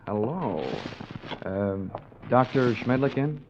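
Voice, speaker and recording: Wisecracking American radio detective. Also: male voice, medium pitch, lively intonation, slow pace, very noisy. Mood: flirtatious. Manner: wry, glib, wisecracking. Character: wisecracking, flirtatious male insurance investigator, cool and cocky. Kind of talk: 1940s-50s radio detective drama acting. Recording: dull old radio recording, music behind.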